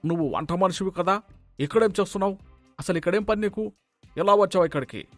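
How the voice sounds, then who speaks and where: A man's voice: sits high, fast talker, no room sound, soft background music, natural voice. A man voicing a suspicious, threatening gangster in a dramatic story, urgent and menacing. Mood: wary, questioning. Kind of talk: dramatic moral-story voice acting.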